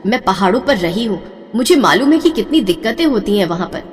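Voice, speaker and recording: A woman's voice: medium pitch, big pitch swings, a little fast, natural voice. Woman acting exasperated mother in reverberant hall. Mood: cautioning. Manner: scolding, world-weary. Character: exasperated, practical middle-aged mother and wife. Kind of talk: audio storybook acting.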